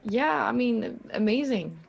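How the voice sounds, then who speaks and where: Creaky-voiced woman, casual streamer. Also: a little high, animated, measured pace, dull audio. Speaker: player and streamer. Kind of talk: casual chat.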